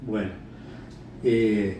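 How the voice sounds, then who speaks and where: Uruguayan guy in an interview, kinda low mellow voice, stop and start, far from the mic, roomy sound. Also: flat delivery. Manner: halting, repeats words while thinking. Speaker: interviewee, bird breeder. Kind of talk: unscripted interview answers, reminiscing. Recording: echoey room, distant mic, faint noise.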